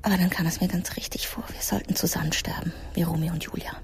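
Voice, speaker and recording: An actress playing a frail, despairing woman patient in a radio drama, confessing in a faltering, breathy whisper. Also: lowish voice, fairly even intonation, fast talker, close to an everyday voice. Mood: weak, despairing.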